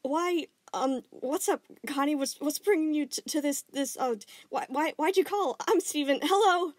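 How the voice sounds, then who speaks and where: A woman's voice: extremely high pitch, moderate pace, dry recording, pitched above the performer's own voice. A woman voicing a panicky, stammering young man with claws who keeps blurting things out. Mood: flustered.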